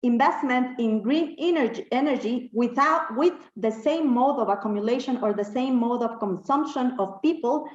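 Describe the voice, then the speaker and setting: A woman from Ecuador speaks as a health activist in a recorded statement, pausing often and searching for her words. Her voice sits a little high. The sound is dull and lo-fi, with a roomy echo around her. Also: darkish tone. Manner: hesitant, searching for words, many fillers. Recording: echoey, dull lo-fi room recording.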